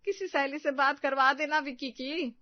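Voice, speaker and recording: A woman's voice: sits high, steady tone, moderate pace, dry recording, voice pushed up, natural voice. A melodramatic middle-aged mother, acted by a woman, overprotective and nagging. She scolds and pleads in a comic audio-story manner. The recording is close, dry and a little muffled. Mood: sarcastic, nagging. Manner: scolding, emotionally blackmailing. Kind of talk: comedic Hindi audio story acting.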